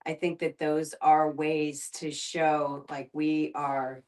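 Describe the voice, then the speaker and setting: A woman with a low voice talks reflectively in a discussion. She picks her words thoughtfully, pausing and restarting, and sits a little away from the microphone. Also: little pitch movement, darkish tone, recorded from a distance. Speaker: guest facilitator and documentary filmmaker.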